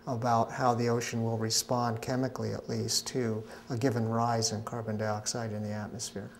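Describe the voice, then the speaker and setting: A middle-aged man, a scientist being interviewed at a conference pavilion, explaining in a measured way with frequent hesitations. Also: medium pitch, level tone, slight background noise. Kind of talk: interview answers.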